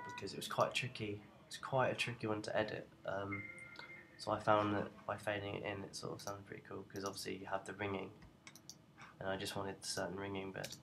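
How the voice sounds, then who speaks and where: A young man with a deep, creaky voice talks through a software tutorial. He is informal and unscripted, feeling his way hesitantly from step to step. There is light noise behind his voice. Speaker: tutorial presenter. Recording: noticeable background noise.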